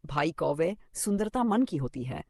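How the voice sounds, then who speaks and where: A narrator as a gentle little cartoon bird, slightly creaky. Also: mid-range voice, big pitch swings, a little slow, dry recording. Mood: gentle, earnest. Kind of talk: animated children's storybook cartoon.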